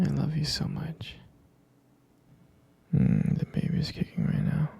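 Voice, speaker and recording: A man playing a tender, devoted boyfriend in an intimate ASMR roleplay, whispering breathily with a husky, gravelly creak and gentle, hesitant phrasing. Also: fairly high pitch, little pitch movement, exaggerated voice. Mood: soft, caring. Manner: slow, gentle, hesitant, pausing and repeating. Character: tender, devoted boyfriend soothing his pregnant partner.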